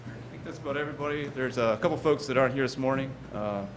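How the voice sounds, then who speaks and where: A man introducing his lifeguard crew at a town board meeting, speaking loosely with frequent pauses. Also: medium pitch. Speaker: ocean rescue supervisor introducing lifeguards. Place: town hall meeting chamber. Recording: town meeting recording with background noise.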